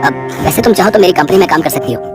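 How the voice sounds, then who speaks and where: Devoted young husband, acted by a man; earnest, fast-paced, fairly low voice, storybook drama with loud music. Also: lively intonation. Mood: concerned. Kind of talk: acted dialogue in a moral story.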